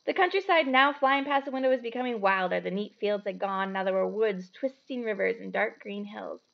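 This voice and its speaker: A woman's voice: medium pitch, a little fast, gravelly, lo-fi sound. A woman storyteller reading a children's book aloud expressively, with the odd casual aside.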